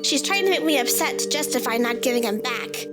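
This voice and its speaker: A woman's voice: a little high, expressive, fairly quick. A woman reading a first-person story in the voice of a child, with a childlike, matter-of-fact manner. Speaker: story narrator. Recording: loud music bed under the voice. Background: music.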